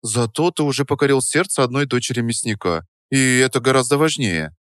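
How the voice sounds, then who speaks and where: Audiobook girl, done by a male reader: raised voice, playful, quick to take offence, dry close sound. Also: medium pitch, a bit bright, dry recording, voice pushed up, lightly acted. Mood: playful teasing. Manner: teasing, huffy, girlish. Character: lively young woman, playful, easily indignant. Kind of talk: audiobook character voice.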